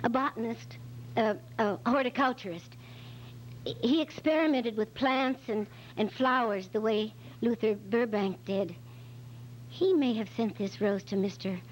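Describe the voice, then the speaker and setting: A woman's voice: a little high, measured pace, natural voice. A woman playing a flustered, anxious secretary who hesitates and stammers as a witness in an amateur radio detective drama. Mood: uneasy. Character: nervous, flustered female secretary, evasive witness. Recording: dull, lo-fi recording with faint hum.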